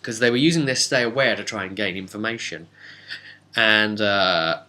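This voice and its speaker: A man giving a casual, unscripted recap as a tabletop game master, rambling and animated with hesitant asides. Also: lowish voice, moderate pace.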